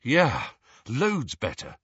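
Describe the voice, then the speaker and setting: A man's voice: mid-range voice, huge pitch swings, slow pace, fairly bright tone, husky, no room sound, dull audio, full character voice. A man voicing a shaken, stubborn and defensive teenage wizard boy in an audiobook story. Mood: stung.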